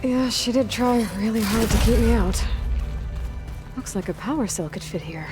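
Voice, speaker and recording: A woman's voice: fairly high pitch, lively intonation, moderate pace, natural voice. A woman playing a determined, guarded young hunter in game dialogue, matter-of-fact and understated, with music behind. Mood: curious. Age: young adult. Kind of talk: video game cutscene dialogue.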